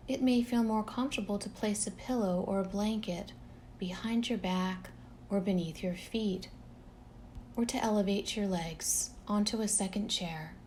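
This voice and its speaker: A woman leading a guided relaxation meditation in a calm, gentle, unhurried manner, leaving long pauses between phrases. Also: mid-range voice, slight background noise.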